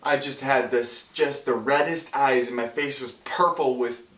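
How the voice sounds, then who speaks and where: A man's voice: medium pitch, fairly even intonation, a little slow, fairly bright tone. A young man telling a funny childhood story on his vlog at home, casual and self-mocking, and he laughs at himself often. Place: at home doing laundry. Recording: roomy, mic at a distance, faint piano.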